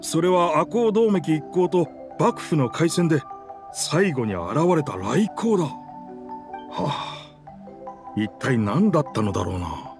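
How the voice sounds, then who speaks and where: A man's voice: on the high side, very animated, takes it slowly, over music, exaggerated voice. A man voicing a game character, the proud, wistful spirit of an old pirate warship, with rugged, old-fashioned, theatrical speech. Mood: shaken, awed.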